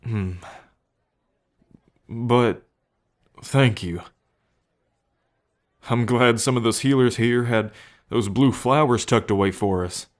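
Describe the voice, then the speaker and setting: A man playing a gentle, devoted bull man with a violent past in an ASMR roleplay, speaking earnestly and tenderly with halting pauses. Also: mid-range voice, lightly acted. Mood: grateful, tender. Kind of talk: ASMR roleplay addressed to the listener.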